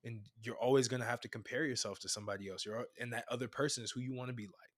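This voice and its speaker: A man from Brooklyn talks as a guest coach on a podcast. His pitch is average for a man, and he gives advice conversationally, stressing and repeating phrases to drive each point home. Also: fairly even intonation, racing, a bit bright. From Brooklyn, New York.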